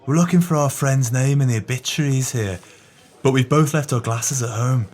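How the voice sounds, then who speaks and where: A man's voice: medium pitch, normal speed, darkish tone, close to an everyday voice. An actor playing a greedy, sly male swindler in an audio drama, with pompous, wordy, formal speech.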